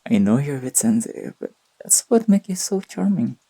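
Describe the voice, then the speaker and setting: A performer voicing a gentle, teasing young boyfriend in an intimate sleep-aid roleplay, speaking softly and tenderly with a breathy, slightly husky voice. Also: medium pitch, sing-song, brisk. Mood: gentle, reassuring. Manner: tender, playful, murmured delivery.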